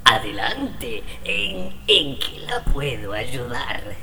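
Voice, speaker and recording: Very slow, creaky old shopkeeper. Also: mid-range voice, sing-song, very noisy, full character voice. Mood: eager, hesitant. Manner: halting, stammering, drawn-out delivery. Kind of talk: radio drama acting.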